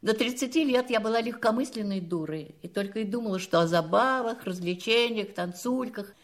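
A woman's voice: a little high, brisk, close to an everyday voice. An actress playing an elderly spinster lady in a drama, candid and gracious, with a reflective, teasing manner. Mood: wistful. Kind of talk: acted radio-play style dialogue. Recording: music playing behind the voice.

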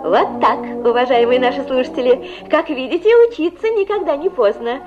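A woman presenting a radio program, reading a script and speaking directly to listeners with expressive delivery. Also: sits high, fairly quick, music in the background. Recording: dull old radio recording.

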